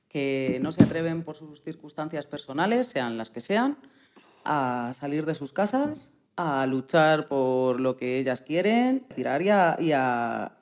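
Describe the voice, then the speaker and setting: A radio interviewer speaks at a middle pitch. The delivery is conversational and earnest, moving between questions and reflective commentary. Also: a little mellow.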